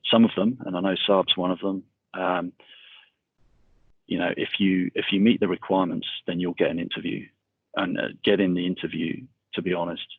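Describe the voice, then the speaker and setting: A middle-aged man giving a casual webinar talk, speaking in a monotonous, rambling way with many fillers over a video call. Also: low-pitched, gravelly, husky, dry recording. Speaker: webinar speaker on military transition. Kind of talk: casual presentation. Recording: tinny video call audio.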